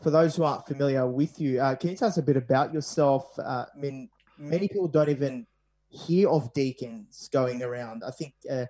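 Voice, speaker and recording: A man's voice: medium pitch, moderate pace, warm tone. A man hosting a radio interview program. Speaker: radio show host.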